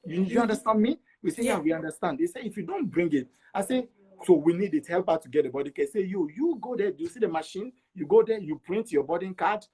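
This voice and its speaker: Higher-voiced Togolese man telling his story. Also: lively intonation, fast talker, mellow, slightly rough, rough recording. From Togo. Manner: repeats words for emphasis, acts out quoted dialogue. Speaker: interview guest. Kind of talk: animated personal storytelling.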